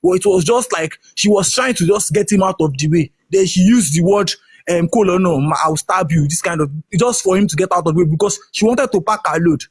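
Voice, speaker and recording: A man's voice: sits high, brisk, breathy edge. A Yoruba-speaking man with a Nigerian English accent, insistently explaining his view in a heated online discussion and often restarting his sentences. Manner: insistent, repeats and restarts, "hold on". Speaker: participant in an online audio discussion. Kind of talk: heated casual debate.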